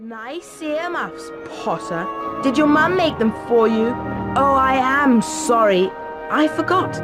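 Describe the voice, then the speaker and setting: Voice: high voice, lively intonation, takes it slowly, over loud music. A performer playing a sneering, smug schoolboy wizard bully in a video game. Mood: mocking, smug. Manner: mocking, smug. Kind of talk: video game character acting.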